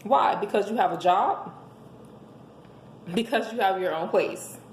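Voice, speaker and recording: A hoarse woman giving an emphatic, preachy motivational monologue, speaking as a host handing out relationship advice to listeners. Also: mid-range voice, animated, unhurried. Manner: emphatic, preachy, rhetorical questions. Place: indoors at home. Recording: echoey room with faint background noise.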